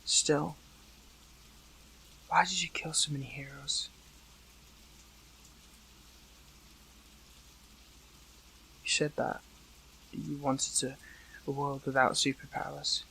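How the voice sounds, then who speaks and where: Gravelly, weary captive young hero played by a man. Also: on the high side, steady tone, pushed and bright, slight background noise, exaggerated voice, poor audio. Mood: hurt, pleading. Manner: halting, emotional, pleading. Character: weakened captive young male superhero, hurt, earnest, still caring. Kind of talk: intimate audio roleplay drama.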